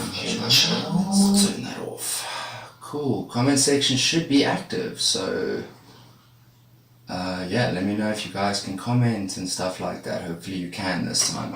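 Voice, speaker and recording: A low-voiced man chats in a loose, rambling way with his viewers as he draws, with a slight congestion from a cold. The sound has a roomy echo, with a faint hum of noise behind it. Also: gravelly. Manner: relaxed and rambling, talks to viewers while drawing. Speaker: art streamer. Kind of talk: casual live-stream chat while drawing. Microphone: streaming setup with a camera facing him. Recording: echoey room with faint background noise.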